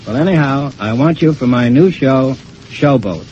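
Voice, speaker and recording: An actor playing a brisk, commanding male Broadway producer in a 1930s radio play. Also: medium pitch, expressive, darkish tone, dull audio, slight background noise, lightly acted. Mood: brisk, businesslike.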